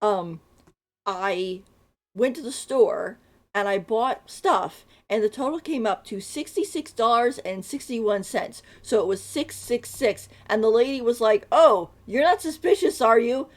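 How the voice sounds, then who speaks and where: A woman's voice: a little high, animated, unhurried. A woman streaming a game, chatting casually and rambling, laughing often as she talks. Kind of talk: casual live-stream chat.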